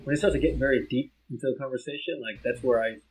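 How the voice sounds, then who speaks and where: An American-accented man whose first language is Vietnamese chats in a laid-back way. He hedges often with "like" and "um", at an ordinary middle pitch. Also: fast talker, rough recording. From United States. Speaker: podcast co-host. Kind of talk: casual chat.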